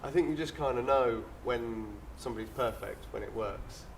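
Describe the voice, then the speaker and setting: A man's voice: mid-range voice, normal speed, vocal fry, far from the mic, a little hiss or hum. A young man from England, a touring musician, chatting casually in an interview in a bar, rambling and repeating his phrases. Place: small town bar.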